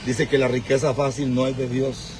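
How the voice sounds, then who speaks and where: A man's voice: mid-range voice, flat delivery, normal speed, crowd noise. A man of fifty-four preaching informally on a livestream, with an exhorting, moralizing manner. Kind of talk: informal preaching mixed with chat. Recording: noisy vehicle and outdoor background.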